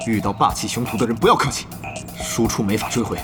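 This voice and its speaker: An actor playing an earnest, analytical guild leader from an online game in an audio drama. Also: male voice, lowish voice, fast, lightly acted. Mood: urgent, analytical. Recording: loud music behind the voice.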